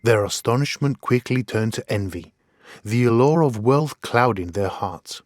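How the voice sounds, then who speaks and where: A man's voice: medium pitch, animated, a little slow, no echo, studio quality. A man narrating a scripted fairy tale in an earnest, dramatic storytelling manner.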